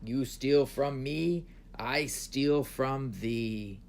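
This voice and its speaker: A man's voice: medium pitch, lively intonation, measured pace, a little mellow, slight background noise. A man voicing a proud, smitten and vengeful storybook dragon who speaks and threatens in rhyme.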